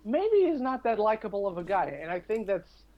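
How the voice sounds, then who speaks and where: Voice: mid-range voice, sing-song, normal speed, lo-fi sound. A podcast guest in casual discussion, explaining step by step and repeating phrases for emphasis.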